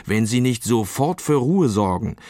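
A man's voice: mid-range voice, lively intonation, a little slow, warm tone, no echo, clean studio sound, close to an everyday voice. A man voicing a stern, officious policeman with threatening authority in a children's audio play. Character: stern official policemen complaining about noise.

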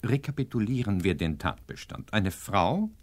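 An actor playing an imperious, pedantic professor detective in a German crime radio play, questioning curtly and with great self-assurance. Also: male voice, lowish voice, big pitch swings, moderate pace. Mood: pedantic, assured.

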